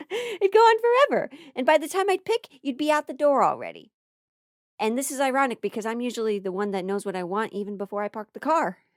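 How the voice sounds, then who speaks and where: A woman's voice: on the high side, sing-song, fairly quick, dry recording, clean studio sound. An American woman telling a casual comedic stand-up story, playful and theatrical, stretching words for emphasis and dropping mock-serious asides.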